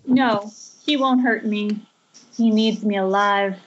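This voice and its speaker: A woman's voice: mid-range voice, slow pace, a little hiss or hum, natural voice. A woman playing a determined, defiant pregnant young heroine in an amateur horror script table read. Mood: urgent, determined. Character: defiant pregnant young woman, Alice, shouting down her tormentor. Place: remote group voice call. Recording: muffled internet call audio.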